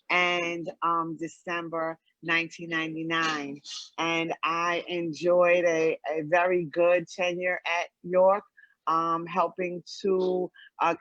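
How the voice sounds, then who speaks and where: A middle-aged woman with an American accent gives a semi-prepared introduction as a guest speaker, measured, with frequent ums and pauses. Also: lowish voice, a bit bright, no room sound. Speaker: guest speaker, small business owner. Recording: dull, dry lo-fi audio.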